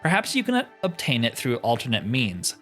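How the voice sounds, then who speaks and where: A man's voice: mid-range voice, wildly swooping pitch, moderate pace, pushed and bright, faint music behind. A man reading the part of a haughty, scheming wise woman in a video game, imperious and slyly suggestive.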